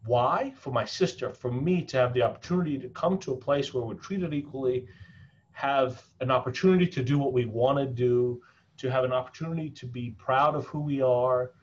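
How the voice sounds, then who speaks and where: A man from South Africa who now speaks with an American accent, telling his story as an interview guest in an earnest, halting way with many restarts. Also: medium pitch, muffled recording, a little hiss or hum. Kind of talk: reflective personal storytelling.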